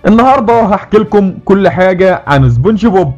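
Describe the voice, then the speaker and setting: A man's voice: sits high, expressive, quick pace, a little mellow, dull audio, soft background music. A man with an Egyptian Arabic accent, narrating a scripted cartoon recap video.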